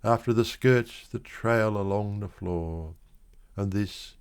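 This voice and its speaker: A man reads poetry aloud in a low voice. He takes his time, very slow and measured, shaping each phrase expressively as a dramatic literary recitation. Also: clean tone. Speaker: poetry reader.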